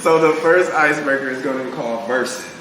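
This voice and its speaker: A man's voice: medium pitch, quick pace, a bit bright. A man co-hosting a podcast, chatting casually in slangy, emphatic everyday speech. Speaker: podcast co-host. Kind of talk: casual chat, explaining a party game. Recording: echoey room, distant hissy background noise.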